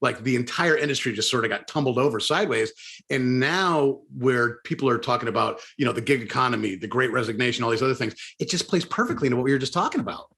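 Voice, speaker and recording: A man hosting a podcast, talking quickly and chatting enthusiastically with his guest. His voice has a middle pitch. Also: lively intonation, fairly bright tone. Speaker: podcast host. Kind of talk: casual interview talk.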